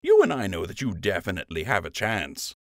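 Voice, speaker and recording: A man's voice: low-pitched, huge pitch swings, brisk, vocal fry, dry recording, clean studio sound, exaggerated voice. A man voicing a teasing young male rabbit friend who ribs with a smirk. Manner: smirking, playful ribbing.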